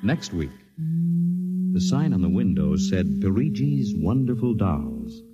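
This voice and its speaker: A man's voice: lowish voice, big pitch swings, takes it slowly, lo-fi sound. A man announcing in formal, theatrical 1950s radio style, with dramatic scripted narration. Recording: vintage radio broadcast with music bed.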